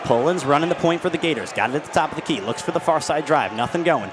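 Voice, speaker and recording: A man's voice: on the high side, animated, background noise. Young male play-by-play announcer, arena crowd. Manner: rapid, vivid play calling. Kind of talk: radio basketball play-by-play. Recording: radio call with loud arena crowd. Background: arena crowd and bouncing ball.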